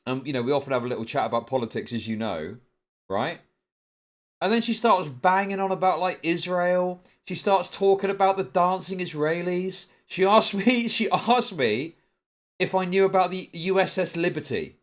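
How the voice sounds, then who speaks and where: A middle-aged man with a British accent hosting a livestream, chatting casually and telling stories in a rambling, sweary, animated way. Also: high voice, normal speed. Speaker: livestream host. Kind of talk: casual chat to a livestream audience.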